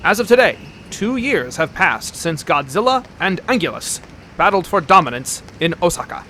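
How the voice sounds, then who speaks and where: An actor playing a solemn, formal announcer who narrates a monster audio drama in measured phrases. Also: male voice, sits high, very animated, noisy background.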